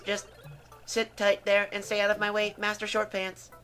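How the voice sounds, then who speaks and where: A man voicing a rude robot helper in a video game, raspy and snippy. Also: high voice, completely flat delivery, moderate pace, pushed and bright, faint music behind, pitched above the performer's own voice. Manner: snippy, dismissive.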